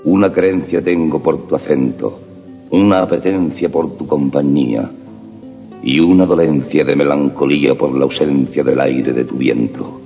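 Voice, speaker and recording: A man reciting love sonnets in a slow, solemn, measured delivery. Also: a bass voice, steady tone, mellow. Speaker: poetry reciter. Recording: long reverb with harp music behind.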